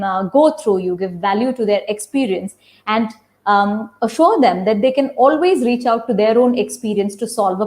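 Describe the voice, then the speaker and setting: A woman's voice: medium pitch, a little mellow. A woman theatre practitioner, a guest on a video-call webinar, explaining in an encouraging way. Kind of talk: casual webinar conversation.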